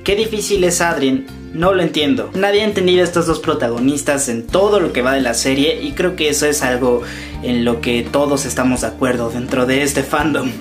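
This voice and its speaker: A man's voice: medium pitch, brisk. A man in his twenties with a Mexican accent, chatting casually as a YouTuber commenting for fans and reading comments aloud. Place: small room indoors. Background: background music.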